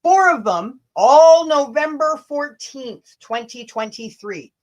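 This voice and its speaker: A woman's voice: high voice, animated, slow, low-quality recording. A Canadian woman hosting a casual, rambling livestream, sarcastic and sweary, drawing out words for emphasis. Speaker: livestream host. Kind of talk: casual, rambling livestream chat with mocking asides.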